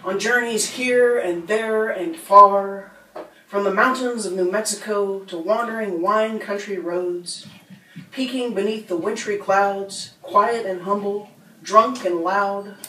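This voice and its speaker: A woman's voice: medium pitch, fairly even intonation, slow pace, pushed and bright, a little hiss or hum. American woman reading her poems at a mic, sounds kinda far off and roomy. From United States. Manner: rhythmic, rhyming delivery with small laughs between poems. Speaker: poet. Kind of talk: spoken word poetry reading. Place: bookstore reading room. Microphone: standing mic, filmed from a distance. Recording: roomy sound from a distant camera.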